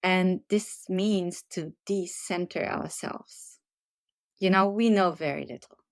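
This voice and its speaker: A woman's voice: lowish voice, steady tone, no echo. Earnest woman, dry close sound. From Germany. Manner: earnest, measured, gently emphatic. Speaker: resilience and leadership trainer, guest. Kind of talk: reflective podcast interview answers.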